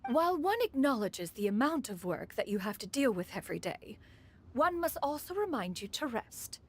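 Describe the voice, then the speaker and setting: A woman's voice: on the high side, sing-song, normal speed, breathy edge. A woman voicing a proud, dignified ancient immortal in a fantasy video game, with a formal, haughty, motherly manner and archaic wording. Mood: formal, fond.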